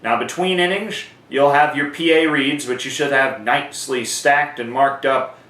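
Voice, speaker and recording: A man's voice: mid-range voice, moderate pace, fairly bright tone. Man teaching in an echoey room. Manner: informal, conversational, explanatory. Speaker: sports announcing instructor. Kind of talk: instructional how-to talk with demonstrations. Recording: echoey room, distant microphone.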